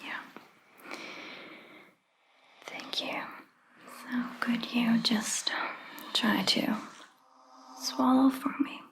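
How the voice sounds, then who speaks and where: A woman performing a soft-spoken ASMR roleplay as a caring vampire doctor, whispering breathily in a gentle, reassuring manner. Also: on the high side, fairly even intonation. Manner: gentle, reassuring, slow and deliberate.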